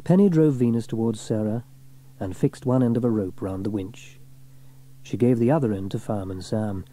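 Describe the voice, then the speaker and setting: A man reading a scripted children's story in an expressive, performed way. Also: lowish voice, moderate pace. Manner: expressive, shifts into character voices. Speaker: storybook reader.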